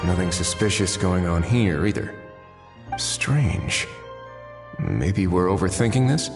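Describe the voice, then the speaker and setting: An actor playing a composed, watchful official in a video game, speaking in a measured, musing way. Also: male voice, deep voice, animated, over music, exaggerated voice. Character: composed watchful male official observing suspects.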